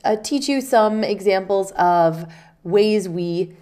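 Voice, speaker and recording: A woman's voice: medium pitch, slow, mellow. A woman with a cold and a congested, nasal voice, teaching English vocabulary in a casual lesson and acting out short example phrases. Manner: patient, explains with spoken examples. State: stuffy nose from a cold.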